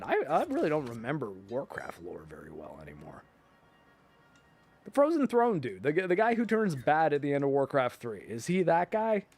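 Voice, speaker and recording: Animated, higher-pitched man chatting casually. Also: slight background noise. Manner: animated, joking, often breaking into chuckles. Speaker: livestream host. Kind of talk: casual rambling chat to stream viewers.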